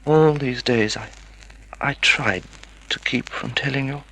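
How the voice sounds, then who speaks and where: An actor playing a wounded, frail semi-invalid husband in an old-time radio drama, halting and pleading. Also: male voice, medium pitch, sharp tone. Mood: grave, halting. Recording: noisy vintage radio recording.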